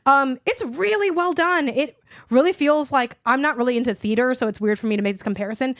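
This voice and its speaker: A young woman with a hoarse voice talks through her picks in a loose, rambling way, leaning on "like" again and again. Her voice sits a little high, and the recording is close and dry with no room sound. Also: a little fast, fairly bright tone, no room sound. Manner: rambling, heavy use of "like". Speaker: podcast co-host. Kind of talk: casual chat.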